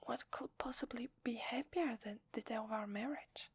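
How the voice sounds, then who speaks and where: A woman with an Italian accent playing a gentle, loving young wife in a whispered, hesitant ASMR roleplay. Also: fairly high pitch, no room sound. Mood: gentle, apologetic. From Italy. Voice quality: breathy, whispery. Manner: slow, hesitant, tender. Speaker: ASMR voice actor.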